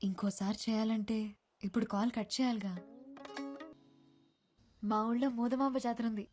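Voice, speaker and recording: A woman's voice: fairly high pitch, steady tone, normal speed, a little mellow, lightly acted. An actress playing a shy but teasing young woman from a village, talking on the phone in film dialogue. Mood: coy. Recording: film soundtrack with music behind.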